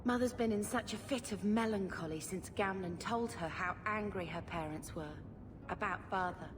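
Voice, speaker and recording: An actress playing an earnest, concerned young woman in a fantasy game, gentle and questioning. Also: medium pitch, moderate pace, in a large hall, over music, natural voice. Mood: troubled. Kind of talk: fantasy video game acting.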